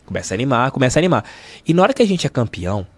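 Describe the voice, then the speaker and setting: A man's voice: mid-range voice, very animated, rapid-fire, no room sound. A middle-aged Brazilian man with a Rio de Janeiro state accent, telling stories casually and emphatically as an interview guest, repeating phrases for emphasis. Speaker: sports journalist, interview guest. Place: podcast studio.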